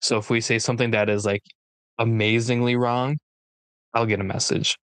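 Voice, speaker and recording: A man's voice: medium pitch, level tone, normal speed, a bit bright, smooth, no echo. A man co-hosting a podcast, joking around and chatting in loose, rambling asides. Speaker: podcast co-host. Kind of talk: casual podcast chat.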